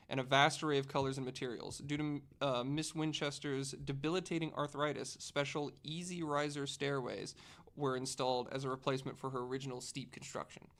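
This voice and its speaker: A man's voice: a little high, a bit bright, clean tone. Man presenting facts on a podcast. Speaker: podcast panelist presenting the story. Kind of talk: casual chat, reading out researched facts.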